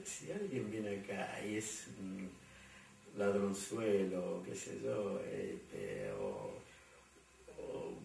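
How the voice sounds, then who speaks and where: Interviewee: low gravelly Argentine man, rambling, echoey room, distant mic. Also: darkish tone, slight background noise. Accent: Argentine Spanish. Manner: rambling, many fillers and repeated words. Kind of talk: conversational interview answers.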